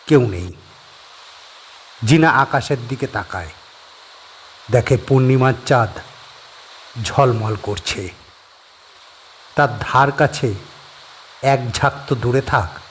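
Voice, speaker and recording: A male narrator telling a horror story, his mid-pitched voice swinging widely in tone to build suspense. A faint hiss sits under the voice. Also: rough recording. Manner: expressive storytelling with rising and falling tension.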